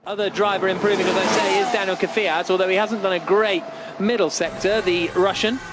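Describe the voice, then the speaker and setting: A man's voice: sits high, steady tone, fairly quick, very noisy. A man giving live motor racing commentary as the cars run.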